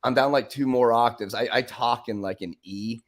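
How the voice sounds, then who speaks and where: A man's voice: mid-range voice, normal speed. A man with a strong, powerful voice sitting in on a casual chat. He rambles eagerly through his stories, restarting his sentences as he goes. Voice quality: powerful singing voice. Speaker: guest singer.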